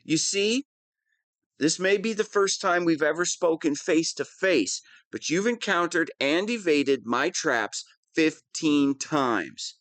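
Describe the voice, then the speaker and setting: A man's voice: a little high, animated, slow pace, a bit bright, no room sound. A man playing a whiny, pompous wannabe supervillain who is easily flustered, in a scripted comedic audio roleplay. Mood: defensive, insistent.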